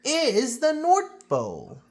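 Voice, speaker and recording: A woman's voice: high-pitched, wildly swooping pitch, takes it slowly, darkish tone, voice pushed up, full character voice. Woman voicing a hearty, commanding train conductor man. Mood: assured, proclaiming.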